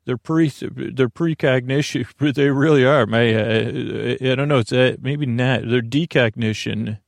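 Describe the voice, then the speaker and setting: A man with a creaky, gravelly voice hosting a sleep podcast, meandering and halting through tangents and filler words. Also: mid-range voice, no room sound, studio quality. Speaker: podcast host. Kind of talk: rambling bedtime sleep podcast monologue.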